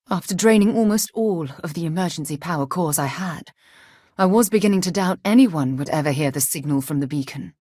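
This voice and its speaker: A woman's voice: fairly low pitch, darkish tone, slightly rough, no room sound, studio quality. A woman playing a principled, earnest space explorer in a video game, speaking articulately with precise diction. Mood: reflective. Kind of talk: video game character dialogue.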